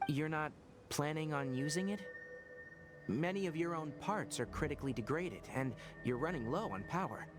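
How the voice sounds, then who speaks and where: An actor playing a calm, measured young ranger scholar who explains things like a lecturer. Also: male voice, fairly high pitch, loud music behind the voice, lightly acted. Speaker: ranger character. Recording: game audio with background ambience.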